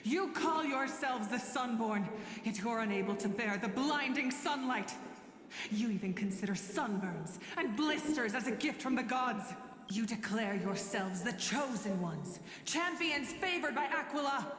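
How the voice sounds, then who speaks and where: An actress playing a proud, defiant warrior heroine in a video game, with a declamatory, commanding and scornful delivery. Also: female voice, a little high, animated, a little slow. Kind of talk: dramatic video game voice acting. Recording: echoey hall reverb under loud music.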